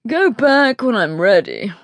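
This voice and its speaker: A woman's voice: fairly high pitch, very animated, unhurried, no room sound. Woman putting on a lazy drawling lord. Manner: lazy upper-class drawl. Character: languid thirty-five-year-old male aristocratic army officer.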